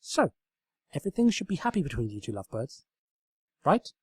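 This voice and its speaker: Male reader as unhinged young mare, high-pitched, swooping, bossy, melodramatic, comic storybook fantasy. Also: very animated, brisk, slightly rough, no echo, studio quality, full character voice. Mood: bossy, smug. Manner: shrill, ranting, insistent. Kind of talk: comedic story reading.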